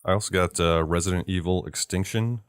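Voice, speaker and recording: A man co-hosting a casual movie podcast, adding trivia in a halting way with many ums. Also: low voice, clear, resonant tone. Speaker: podcast co-host.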